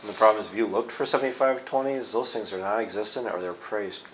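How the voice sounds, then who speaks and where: A male farmer talks casually with friends in a fairly low voice. The sound is roomy, with a faint layer of noise behind him. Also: quick pace. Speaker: dairy farmer in conversation. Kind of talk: casual chat. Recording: echoey room, faint noise.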